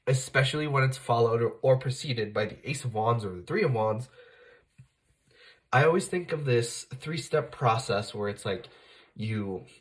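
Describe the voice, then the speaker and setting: A man with a slight cold speaks in a mid-range voice as he walks viewers through a tarot reading. His delivery is loose and conversational, full of "uh" and "like," and he often catches and corrects himself mid-sentence. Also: medium pitch. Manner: conversational, self-correcting, many fillers. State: fighting off a cold, occasional cough. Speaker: tarot reader, channel host. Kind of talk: casual tarot reading to camera.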